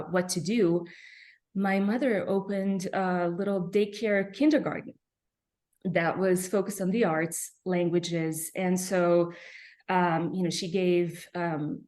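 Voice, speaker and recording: A woman from Serbia, a pianist, answering interview questions reflectively with frequent soft fillers and pauses. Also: fairly low pitch. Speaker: pianist guest.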